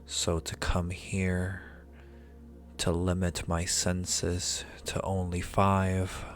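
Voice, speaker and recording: Ancient male dragon, performed by a man, ASMR roleplay. Extremely unhurried, even-toned, condescending yet intimate, with formal, archaic phrasing. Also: deep voice, faint music behind. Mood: wistful, pensive. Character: ancient male dragon familiar, powerful, possessive, amused, seductive.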